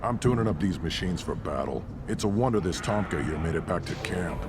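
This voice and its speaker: An actor voicing a cocky, gruff alien mechanic in a video game, blunt and boastful. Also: male voice, deep voice, expressive, a little fast, background noise. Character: gruff cocky alien warrior-race mechanic, adult male. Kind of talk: video game dialogue acting.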